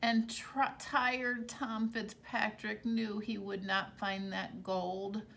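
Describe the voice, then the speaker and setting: Bright-voiced woman reading to children. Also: mid-range voice. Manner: animated, pausing to explain to young listeners. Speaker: teacher reading aloud. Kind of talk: expressive storybook read-aloud for children.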